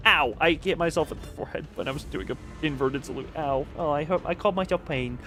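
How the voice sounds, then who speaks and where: A man's voice: on the high side, lively intonation, quick pace, bright, forceful tone, soft background music. A man streaming a story game, reading dialogue aloud and joking in a self-mocking way. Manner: jokey, self-mocking, breaks into laughter.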